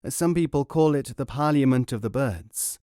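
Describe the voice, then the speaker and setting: A man's voice: mid-range voice, animated, normal speed, dark tone, dry recording, studio quality, close to an everyday voice. A man doing a courtly old Persian bookseller. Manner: gracious, formal and polite.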